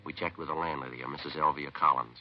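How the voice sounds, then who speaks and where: An actor playing a terse, dogged police detective in a clipped, deadpan, matter-of-fact 1950s radio drama style. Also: male voice, rumbling low, fast, slight background noise. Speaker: police detective narrator and lead. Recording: muffled vintage radio recording, music.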